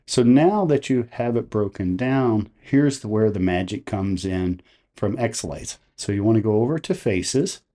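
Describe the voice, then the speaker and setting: Casting: male tutorial host, lowish mellow voice, step-by-step instruction. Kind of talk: step-by-step software tutorial narration.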